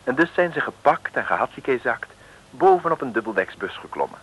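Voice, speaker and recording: A man's voice: medium pitch, sing-song, a little fast, a bit bright, slightly rough. A man narrating a comic radio serial from the 1960s in a wry storytelling manner. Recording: noisy old radio recording.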